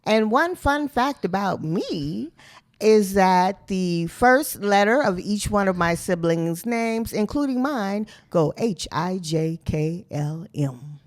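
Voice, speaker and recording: A woman, the host's mother and manager, asking her daughter questions in a warm, motherly way on a casual podcast. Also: medium pitch, animated, takes it slowly. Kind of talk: casual interview chat.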